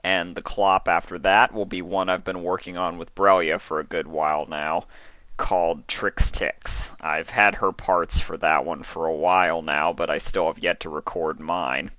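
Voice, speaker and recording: A man giving a casual, low-key sign-off to his listeners as a fan fiction reader. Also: level tone, moderate pace, pushed and bright, dry recording.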